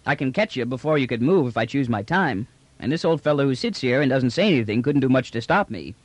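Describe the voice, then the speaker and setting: Audiobook role: brash prisoner trader, voiced by a man, colloquial and bland-faced cheek, lively swings in pitch. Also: quick pace, dry recording, lightly acted. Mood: easygoing, frank. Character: brash, unruffled bearded male space trader prisoner, slangy. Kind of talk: audiobook character dialogue.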